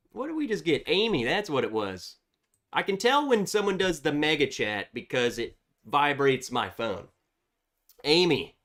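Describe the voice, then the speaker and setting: Congested male streamer, slightly high and very animated, upbeat host chatting with viewers. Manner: reads viewer chats aloud, chatty and upbeat. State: congested from a cold. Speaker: livestream host. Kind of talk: casual livestream chat.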